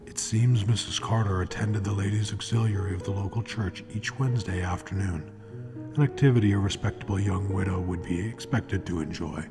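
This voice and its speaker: A man narrating a true-crime radio drama in a grave, measured, scripted style. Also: deep voice, music in the background, close to an everyday voice. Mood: measured, suspenseful.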